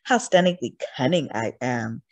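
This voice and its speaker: A woman's voice: very deep voice, expressive, normal speed, darkish tone, voice pushed down, exaggerated voice. A woman voicing a sly, greedy old male wolf in a storybook, with a gloating, theatrical manner. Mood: scheming.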